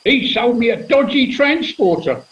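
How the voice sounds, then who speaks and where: A man's voice: very high voice, expressive, moderate pace. A man playing a belligerent, indignant castaway full of complaints in a comic radio play, on a muffled recording. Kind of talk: British comedy radio play acting.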